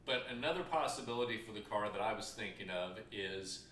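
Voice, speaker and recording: Bright-voiced man, distant and echoey. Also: lowish voice, animated, a little fast. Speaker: show co-host and writer. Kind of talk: casual chat, pitching story ideas. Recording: distant, echoey mic with background noise.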